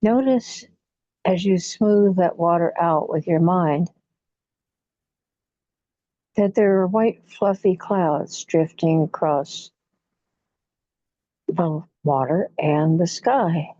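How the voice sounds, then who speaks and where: Slow, low-voiced woman guiding relaxation. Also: dark tone, dry recording. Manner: soothing, unhurried, with long pauses between short phrases. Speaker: guided imagery facilitator. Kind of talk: guided relaxation meditation. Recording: muffled, dull lo-fi audio.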